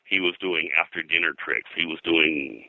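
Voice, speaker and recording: An older man being interviewed as a historian, telling anecdotes in a halting, rambling way and often clearing his throat. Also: deep voice, bright, forceful tone, dry recording, rough recording. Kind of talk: anecdotal interview talk about old-time radio and pulps.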